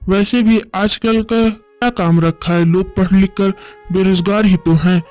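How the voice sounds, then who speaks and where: A man voicing a greedy, boastful rich village landowner in an animated moral story, with a bossy, self-satisfied manner. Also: high voice, a little slow, faint music behind. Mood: condescending.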